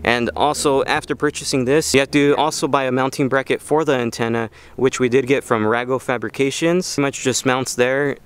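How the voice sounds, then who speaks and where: A man's voice: mid-range voice, fairly even intonation, fairly quick, few pauses, clear, resonant tone. A man hosting an informal how-to video, explaining things step by step in a casual way. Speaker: video host.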